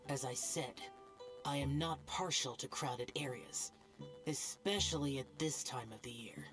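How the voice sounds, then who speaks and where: A man's voice: medium pitch, a little slow, lightly acted. An actor playing a cold, aloof male immortal guardian who speaks tersely and dismissively. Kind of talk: video game cutscene voice acting. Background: game soundtrack music.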